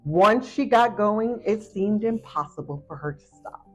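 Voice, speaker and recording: A woman narrating a children's picture book aloud in an expressive storytelling style. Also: mid-range voice, unhurried. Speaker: storybook reader. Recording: muffled audio with faint music behind.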